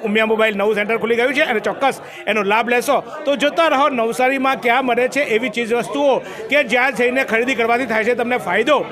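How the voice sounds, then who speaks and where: A middle-aged man, reporting on a shop opening in a chatty, salesy manner into a handheld mic inside a crowded store. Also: very high voice. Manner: chatty, salesy, jokes with viewers. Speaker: local news reporter. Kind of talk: on-the-spot promotional report. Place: crowded mobile shop, indoors.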